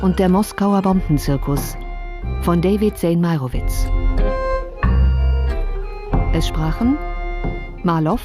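A woman announcer reading scripted radio credits in a measured, formal, list-like way. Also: lowish voice. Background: music.

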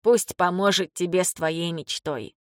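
A woman voicing an ailing older father in an audiobook, blunt, teasing and fond, speaking slowly and haltingly. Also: lowish voice, dry recording, clean studio sound, close to an everyday voice. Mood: firm, fond. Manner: slow, deliberate, halting for words. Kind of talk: audiobook character dialogue.

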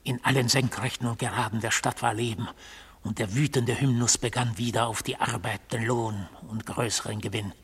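An actor in a radio drama playing an intense, brooding man, husky and gravelly, recounting events with solemn, portentous deliberation. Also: mid-range voice, fairly even intonation, moderate pace. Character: intense, brooding male accused, obsessively recounting his pursuit. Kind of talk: literary German radio drama acting.

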